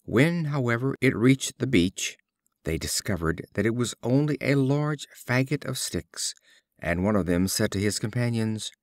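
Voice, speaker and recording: Male audiobook reader for fables: unhurried, animated intonation, expressive storytelling. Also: mid-range voice, no room sound, studio quality. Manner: measured, expressive storytelling delivery. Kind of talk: scripted storybook narration of fables.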